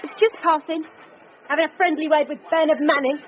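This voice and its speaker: A woman's voice: high voice, fairly even intonation, fairly quick, dull audio, slight background noise, close to an everyday voice. A woman acting in a radio drama. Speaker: actor.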